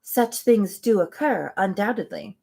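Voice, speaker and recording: A woman reading aloud as a reserved, earnest young gentlewoman from a classic novel, in a grave, measured, formal way. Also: medium pitch, animated, creaky, slightly rough, heavily acted. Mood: grave, restrained. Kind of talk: storybook read aloud.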